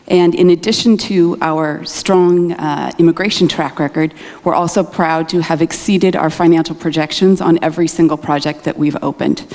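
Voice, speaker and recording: A woman's voice: lowish voice, mellow. A woman presenting her company on a conference panel, fluent and businesslike. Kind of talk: panel self-introduction. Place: large conference hall. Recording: hall reverb from a conference stage.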